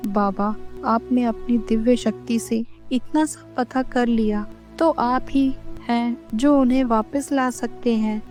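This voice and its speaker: Slow, high-voiced worried village wife. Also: female voice, fairly even intonation, a little mellow, soft background music. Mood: pleading. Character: worried, devoted wife of a poor fisherman, a mother. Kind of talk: animated moral story acting.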